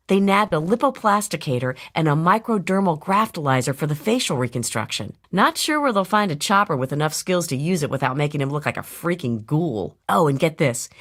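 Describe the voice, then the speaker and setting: Gossipy, animated wasteland informant woman. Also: low voice, heavily acted. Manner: gossipy, casual. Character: excited, conspiratorial female wasteland informant sharing gossip. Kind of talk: video game audio log acting.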